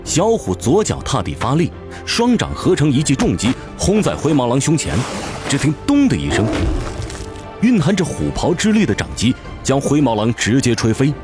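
Highly animated male dramatic narrator. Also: moderate pace. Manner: theatrical, suspenseful storytelling. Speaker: narrator, professional voice actor. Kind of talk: dramatic scripted audiobook narration. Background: music bed.

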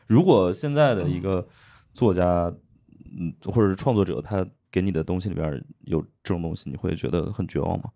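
A man's voice: sits low, a little fast, gravelly, dark tone. A man hosting a casual podcast who asks his guests questions and offers short acknowledgements. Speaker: podcast host.

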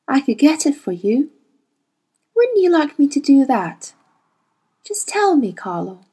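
A woman voicing a manipulative, seductive woman who coaxes secrets in a soft, purring way. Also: high voice, pitched above the performer's own voice, full character voice.

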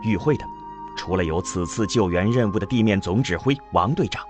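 Male audio-drama narrator, low register, highly animated phrasing, light music bed. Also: a little fast. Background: background music.

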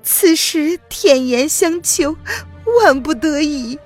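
A woman narrator voicing a desperate young woman who pleads in a high, tearful voice. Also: slow pace, faint music behind. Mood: pleading.

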